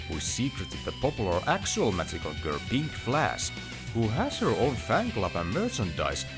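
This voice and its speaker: Man, scripted reviewer narration, lively swooping intonation, wry opinionated delivery, plucked guitar music behind. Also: medium pitch.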